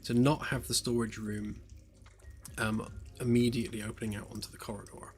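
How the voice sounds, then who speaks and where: A man developing a game on a live stream, chatting casually as he works, slightly hoarse and rambling with lots of fillers. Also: fairly low pitch, level tone, fairly quick, darkish tone, slight background noise. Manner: rambling, hesitant, many fillers, self-deprecating jokes. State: slightly hoarse, losing his voice. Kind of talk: relaxed live-stream chat while working. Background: faint lo-fi background music.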